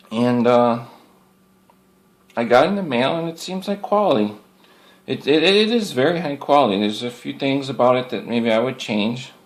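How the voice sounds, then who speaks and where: A man giving a casual, rambling product show-and-tell in a folksy, plainspoken way, often repeating his phrases. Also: mid-range voice. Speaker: hobbyist presenter showing radio antenna gear.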